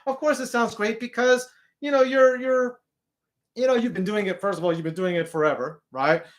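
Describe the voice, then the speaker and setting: A man with a deep speaking voice teaching a singing lesson, who ends nearly every phrase with "okay?" and sings short demonstration notes. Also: moderate pace.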